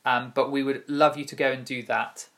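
A man leading a casual church devotional, relaxed with many pauses and fillers, joking with his co-host. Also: medium pitch. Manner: relaxed, many ums, playful banter. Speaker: youth church leader.

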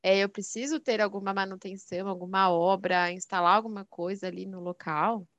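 Podcast hostess, smooth clear voice, dry close sound, friendly curious questions. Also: female voice, mid-range voice, steady tone, a little fast, a bit bright, dry recording, highs cut off. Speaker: podcast host. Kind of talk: podcast interview.

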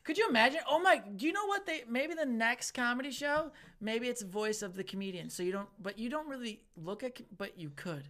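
A woman and comedian chatting casually on a podcast, teasing her co-host with quick jokey remarks. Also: on the high side, expressive, fairly bright tone. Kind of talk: casual chat.

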